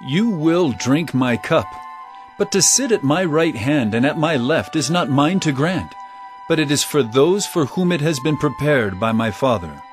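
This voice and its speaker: Authoritative biblical teacher, fairly high male voice, animated pitch, measured solemn storytelling, music bed. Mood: grave, gentle. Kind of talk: audio drama scripture storytelling.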